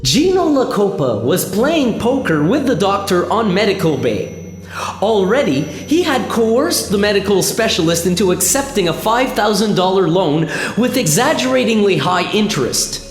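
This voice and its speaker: A woman's voice: mid-range voice, big pitch swings, moderate pace, roomy sound, in a large hall, lightly acted. A woman narrating a science fiction radio play in a scripted storytelling delivery. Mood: measured. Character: storytelling narrator of a space adventure. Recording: music behind the voice.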